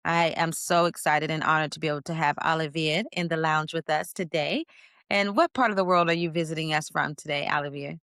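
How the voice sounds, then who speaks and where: A woman hosting an interview podcast, speaking with energetic, emphatic, preacher-like emphasis. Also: low-pitched, brisk, smooth, no echo, studio quality. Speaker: podcast host.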